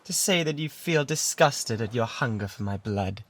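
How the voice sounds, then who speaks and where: Breathy British lovesick pirate, acted by a man. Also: medium pitch, expressive, moderate pace, close to an everyday voice. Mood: wounded. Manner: breathy, drifting into whispers, theatrical pleading. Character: lovesick obsessive male pirate, pleading and devoted. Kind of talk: intimate ASMR roleplay acting. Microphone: close binaural microphone. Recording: close binaural recording with background ambience noise.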